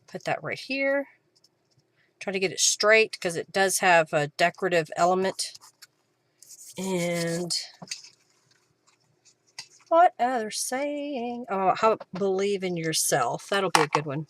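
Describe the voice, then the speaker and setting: A woman's voice: mid-range voice, lively intonation, slow, long pauses, a bit bright, slight background noise. A woman hosting a craft livestream, casually talking through each step and thinking aloud as she works. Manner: informal, talks to herself and viewers while working. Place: live stream while working with craft materials.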